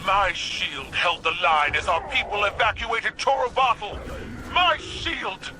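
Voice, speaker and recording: A woman's voice: medium pitch, takes it slowly, close to an everyday voice. Woman playing proud alien warrior empress, noisy backdrop. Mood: proud, embattled. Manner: imperious, declarative. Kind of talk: dramatic video game acting.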